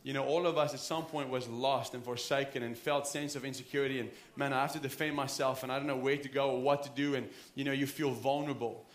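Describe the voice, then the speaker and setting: A man's voice: mid-range voice, fairly even intonation, quick pace, few pauses, echoey room. A man in his thirties with a South African accent, preaching an earnest, conversational sermon with frequent restarts. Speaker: pastor. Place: church sanctuary.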